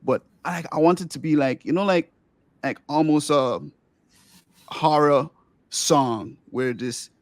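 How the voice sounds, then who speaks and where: A middle-aged man from Grenada with a light, somewhat squeaky voice, recorded close and dry with no room sound. He hosts the chat with eager, rambling talk and often starts over mid-sentence. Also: unhurried, dry recording. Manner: enthusiastic, rambling, many restarts. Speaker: podcast host and musician. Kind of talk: casual podcast interview.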